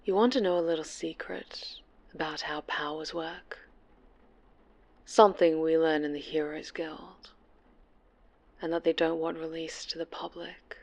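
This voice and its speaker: An actress plays a possessive superhero villainess in an intimate horror ASMR roleplay. Her voice sits a little low and moves very slowly, sliding into breathy whispers. She taunts with a mock sweetness that stays menacing. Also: steady tone, a little mellow. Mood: teasing, coaxing.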